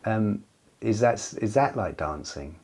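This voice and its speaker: A man interviewing a guest, asking gentle, probing questions and rephrasing them as he goes. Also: fairly low pitch, expressive, unhurried, slightly rough.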